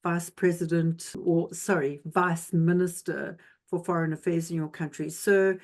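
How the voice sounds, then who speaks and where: A woman's voice: lowish voice, fairly even intonation, measured pace, a little mellow. A woman from South Africa hosting a leadership interview podcast, delivering an effusive, emphatic introduction and questions with frequent fillers. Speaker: podcast host.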